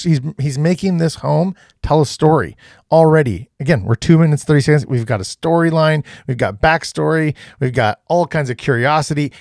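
Upbeat man in his thirties, higher-pitched, dry close studio sound, coaching style reaction talk. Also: no echo. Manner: energetic, repeats himself, coaching tone. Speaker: real estate content creator reacting to a video. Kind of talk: casual reaction commentary. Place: small home studio, indoors.

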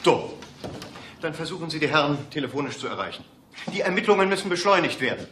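A man's voice: fairly high pitch, lively intonation, fast, a bit bright, a little hiss or hum. An actor playing a pompous, touchy police director in a radio play, officious and indignant in his delivery. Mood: impatient. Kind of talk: German radio play acting.

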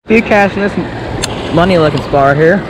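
A man's voice: on the high side, normal speed, very noisy. A man chatting casually and folksily for an outdoor fishing vlog, half to himself, recorded on a body-worn action camera. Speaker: angler vlogger. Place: outdoors on a grassy riverbank.

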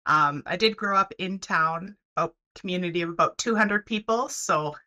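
Bright-voiced Canadian woman guest. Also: fairly low pitch, normal speed. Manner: friendly, laughs often. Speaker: guest, welding program head. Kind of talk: casual interview chat. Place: home room, video call. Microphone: headset.

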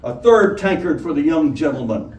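Storybook tavern madam, male reader: high, loud, flirtatious and rough-spoken, heard across a roomy space. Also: lively intonation, normal speed, close to an everyday voice. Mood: bellowing. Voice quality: harsh, grinding. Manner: rustic colloquial speech, wheedling. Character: brash bellowing tavern madam, flirtatious and coarse. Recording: roomy, distant mic, background noise.

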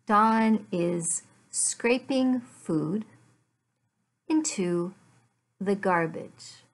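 A middle-aged woman, an English teacher, giving a lesson in deliberate, word-by-word phrases with repeated questions and answers. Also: medium pitch. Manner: deliberate, word-by-word enunciation for learners. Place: small furnished room at home.

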